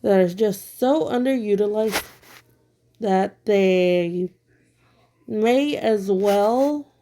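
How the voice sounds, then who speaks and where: Slow-talking woman with a sore throat. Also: mid-range voice, dark tone. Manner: blunt and critical, with many fillers. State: a little sick, throat bothering her. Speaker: online commentator. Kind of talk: casual opinion commentary. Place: at home.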